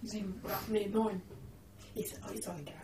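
A woman's voice: medium pitch, fairly even intonation, normal speed. A woman in casual conversation, speaking in a blunt, matter-of-fact way. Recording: roomy, distant mic, faint noise.

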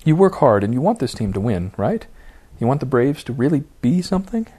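A man's voice: medium pitch, expressive, a little fast, warm tone. A man voicing a persuasive, testy baseball team owner in an audiobook, wheedling, pleading and insistent. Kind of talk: audiobook character dialogue.

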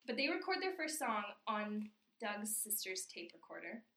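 A woman's voice: medium pitch, big pitch swings, moderate pace, fairly bright tone, reverberant. A woman co-hosting a podcast, casually recapping a cartoon episode with frequent laughs. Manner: laughs often, chatty, drops to a near whisper at times. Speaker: podcast co-host.

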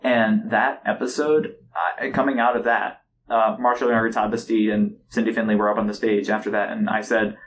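A young man in casual podcast conversation, telling stories in a chatty, animated way. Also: fairly low pitch, normal speed, slight background noise. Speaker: podcast host. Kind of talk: casual chat.

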